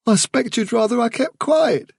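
A man's voice: very high voice, lively intonation, creaky, husky, no echo, clean studio sound, voice pushed up, full character voice. A man voicing a kind young male rabbit comforting a friend in a storybook reading. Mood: soothing. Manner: gentle, soothing, quick. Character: kindly young male rabbit, gentle and steady.

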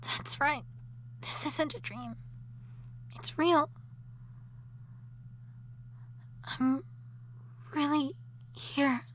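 A woman voicing an obsessive, jealous wife who has come back from the dead, in an audio drama that moves between intimate whispering and shrill shouting. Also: very slow, a little hiss or hum. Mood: tender. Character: obsessive jealous undead wife, possessive and unstable.

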